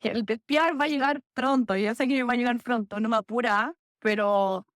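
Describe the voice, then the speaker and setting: A woman's voice: on the high side, moderate pace, no echo, clean studio sound. A young Chilean woman with a Chilean Spanish accent, a guest telling stories in a casual podcast chat. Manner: chatty, animated storytelling with laughs and self-corrections. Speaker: interview guest, physiotherapist.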